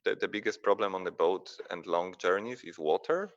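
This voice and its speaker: A man from Poland, a museum sailor answering live questions in a halting, plain-spoken way with many fillers. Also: deep voice. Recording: muffled lo-fi stream audio.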